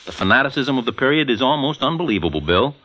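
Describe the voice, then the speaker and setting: A man's voice: mid-range voice, sing-song, fast, pushed and bright, rough recording. An American man hosting in an emphatic, polished 1940s newsreel style on vintage radio. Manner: dramatic, emphatic, polished announcer delivery. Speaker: radio host and commentator.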